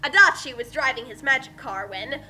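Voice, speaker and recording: A woman's voice: high voice, expressive, brisk, bright, forceful tone. A woman giving a theatrical comic reading of a badly written story, with exaggerated dramatic emphasis and asides. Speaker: dramatic reader of fan fiction.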